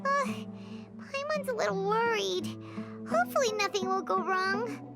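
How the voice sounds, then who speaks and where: A woman voicing a tiny, excitable childlike fairy companion in a video game, squeaky and cheeky. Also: measured pace, vocal fry, full character voice. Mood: nervous. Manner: speaks of self in third person. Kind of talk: video game character acting. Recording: loud music under the voice.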